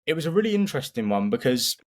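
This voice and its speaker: A man's voice: medium pitch, sing-song, very fast. A man co-hosting a motorsport podcast, analysing in a casual, opinionated and emphatic way.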